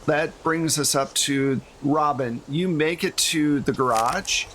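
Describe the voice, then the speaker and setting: A man's voice: fairly high pitch, slow pace, a bit bright, a little hiss or hum, rough recording. A man running a tabletop game, narrating casually as game master with a jokey streak.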